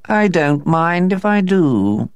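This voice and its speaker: A man's voice: medium pitch, wildly swooping pitch, darkish tone, no room sound, voice pushed up. A man voicing an aloof talking house cat. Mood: cool disdain. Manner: cool, disdainful, unhurried. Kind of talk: storybook reading.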